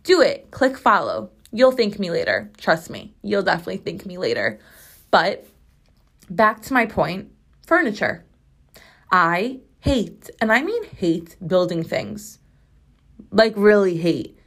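A woman in her twenties hosts her solo podcast in a rambling, emphatic style, swearing freely. Her voice is strained and hoarse, and she is recording from her bathroom at home. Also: medium pitch, sing-song, a little slow, a bit bright. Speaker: podcast host. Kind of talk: casual solo podcast chat.

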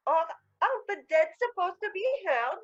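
A woman's voice: very high-pitched, expressive, normal speed. A woman playing an eerie, taunting caller posing as a dead woman in a radio crime drama, through a telephone filter. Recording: dull, band-limited phone-style sound.

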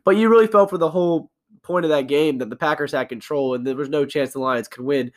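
A young man with a slight cold rasp talks sports in quick, loose bursts. His voice sits a touch high and moves along at a brisk clip, with plenty of casual fillers. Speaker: podcast co-host.

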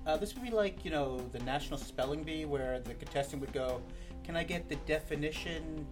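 A podcast guest answering questions with dry, deadpan humor. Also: mid-range voice, a little fast, over music. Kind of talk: casual interview.

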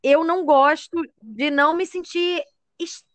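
A woman's voice: extremely high pitch, fairly even intonation, measured pace, dry recording. A young adult Brazilian woman chatting casually in a sociable, conversational manner. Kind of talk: casual chat.

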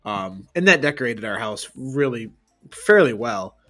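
A young man co-hosting a podcast in relaxed chat, with a deep voice, easygoing and quick to laugh. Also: soft background music. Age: young adult. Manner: easygoing, laughs a lot, rambling with fillers. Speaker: podcast co-host. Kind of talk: casual chat.

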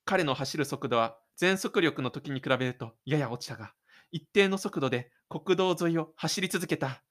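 A man's voice: fairly high pitch, animated, moderate pace, fairly bright tone. A man reading scripted third-person narration for an audio drama in a dramatic storytelling manner. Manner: dramatic storytelling with spoken sound effects.

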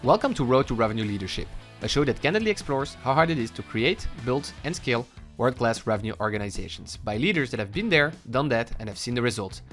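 A man delivers a scripted podcast intro as host in a brisk, upbeat read. He has a mid-range voice, and faint music plays underneath. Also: mid-range voice, expressive, fairly bright tone.

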